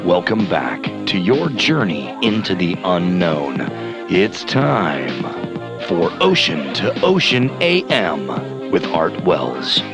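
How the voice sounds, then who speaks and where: Grandiose male radio announcer, acted, very low register, animated theatrical delivery, music bed. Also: heavily acted. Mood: grandiose, mysterious. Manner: pompous, drawn-out dramatic phrasing. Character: grandiose, touchy late-night radio show announcer.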